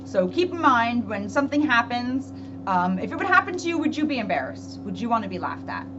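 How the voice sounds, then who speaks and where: A woman police officer speaking to children in an expressive, storybook read-aloud manner, with emphatic pauses. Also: fairly high pitch. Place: indoors at a public library. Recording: dull lo-fi audio with clear background noise.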